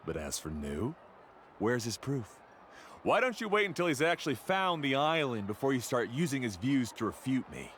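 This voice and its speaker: An actor playing an arrogant, dismissive guide-for-hire in a video game, with a haughty, scornful manner. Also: male voice, mid-range voice, sing-song, normal speed, fairly bright tone, a little hiss or hum. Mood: smug. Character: arrogant, dismissive, mercenary male island guide.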